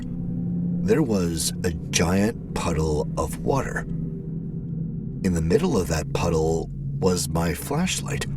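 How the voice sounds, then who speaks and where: Low, slow male horror narrator. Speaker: storyteller, narrator. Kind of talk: scripted first-person horror narration.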